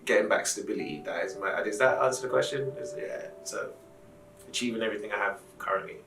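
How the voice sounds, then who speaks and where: A man's voice: fairly low pitch, quick pace, gravelly, distant mic, over music. A twenty-seven-year-old man from London giving casual interview answers. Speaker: film producer. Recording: echoey room, off the mic.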